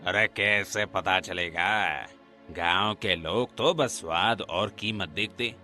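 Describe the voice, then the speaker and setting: A man's voice: low-pitched, slow, pushed and bright, soft background music, pitched below the performer's own voice, full character voice. A man voicing an arrogant, scheming middle-aged village pandit in a cartoon, sneering and bossy. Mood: conspiratorial.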